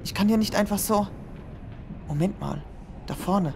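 A woman's voice: medium pitch, normal speed, gravelly, noisy background, natural voice. A woman voicing a nervous young male student in an amateur audio drama, fretting and talking to herself. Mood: anxious. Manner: anxious, fretful, talks to herself. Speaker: voice actor in a fan audio drama. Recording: music playing behind voice.

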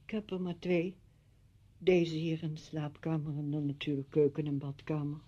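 A woman's voice: deep voice, flat delivery, moderate pace, warm tone, highs cut off. An actress playing a reserved woman who lives alone, terse and guarded, in a radio drama.

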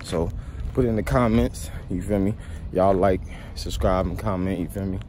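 A man's voice: low voice, moderate pace. A young man vlogging into a phone held at arm's length as he walks down a street, rambling casually and asking "you feel me" over and over. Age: young adult. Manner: laid-back rambling, keeps saying "you feel me". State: slightly sick with a cold. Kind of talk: casual walking vlog monologue. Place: outdoors on a street on a sunny day. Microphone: phone held low at arm's length. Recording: outdoor street noise behind. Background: passing traffic.

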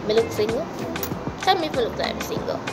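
Young woman, Jamaican accent, blunt chatty vlog talk, phone at arm's length, loud music underneath. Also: a little high, lively intonation, fast talker. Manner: chatty and blunt, mixes Jamaican patois forms. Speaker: vlogger answering viewer questions. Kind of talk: casual Q&A chat. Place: indoors against a plain wall. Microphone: camera or phone at arm's length.

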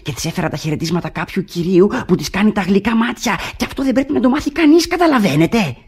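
Naive male servant, high-pitched, swooping intonation, husky, conspiratorial, halting, comic radio play. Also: expressive. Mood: confiding, pleased. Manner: halting, secretive, chuckling simpleton. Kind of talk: Greek radio theatre acting of classic comedy.

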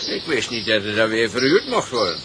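Lively, guarded village postman. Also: on the low side, a little fast, noisy background. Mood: friendly. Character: polite, evasive village postman. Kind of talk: Dutch radio drama acting.

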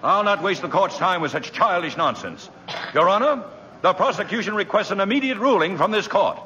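An actor playing an exasperated, stubborn prosecutor in 1940s radio drama style. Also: male voice, high voice, animated, a little fast, sharp tone. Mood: indignant. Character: exasperated, stubborn male assistant district attorney. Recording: muffled, reverberant vintage radio broadcast.

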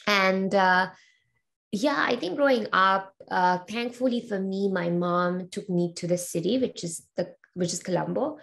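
Gravelly-voiced young woman from Sri Lanka. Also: medium pitch, a little slow, fairly bright tone. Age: 20s. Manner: thoughtful, many ums and uhs. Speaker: interview guest, learning experience designer. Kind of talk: casual video call interview. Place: home room with bookshelves. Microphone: video call webcam.

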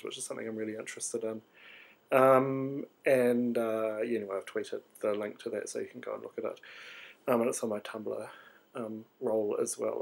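A man's voice: mid-range voice, creaky. Sleepy, hesitant New man. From New Zealand. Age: 30s. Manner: hesitant, many ums and restarts. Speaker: artist video diarist. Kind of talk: rambling video diary monologue.

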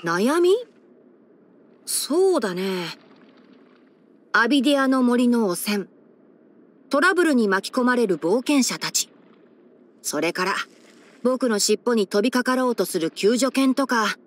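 A young male forest ranger chief with fox ears for an anime-style game. The voice is fairly high, light and boyish. He speaks in a measured, articulate way, clever and gentle with a soft wryness. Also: expressive. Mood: matter-of-fact. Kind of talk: Japanese game character voice-over.

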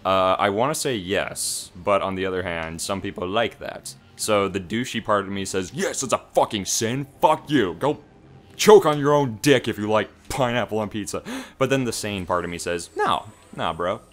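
A man's voice: lowish voice, normal speed. A young man chatting casually as co-host of a video game let's play, bantering crudely with plenty of swearing.

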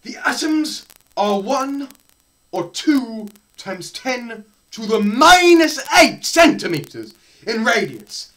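A young man gives a theatrical lecture reading in a slow, deliberate pace. His pitch leaps around widely and his delivery is melodramatic, moving between hushed murmurs and loud cries. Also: very animated, rough recording. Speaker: presenter performing a dramatised physics lecture. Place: small plain room indoors.

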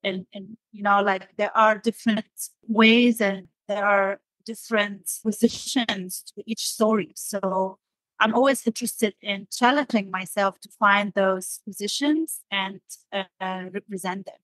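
Woman, thoughtful interview guest, hesitant phrasing, mid pitch, dry recording. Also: steady tone, unhurried, fairly bright tone, no echo, poor audio. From Damascus, Syria. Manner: hesitant, searching for words. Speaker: podcast guest, designer.

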